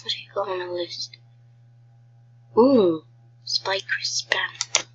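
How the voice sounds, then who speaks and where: A woman's voice: mid-range voice, slow pace, fairly bright tone, slight background noise. A woman with a cold, chatting casually to viewers as she thinks aloud during gameplay commentary. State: has a cold, sounds ill. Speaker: gaming video creator.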